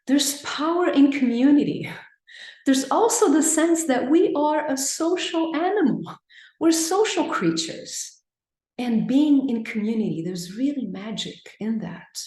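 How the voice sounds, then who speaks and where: A woman with a Brazilian accent leading a mindfulness class on a video call, gentle and affirming. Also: fairly high pitch, echoey room. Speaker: mindfulness teacher. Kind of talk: live online class, reading chat responses.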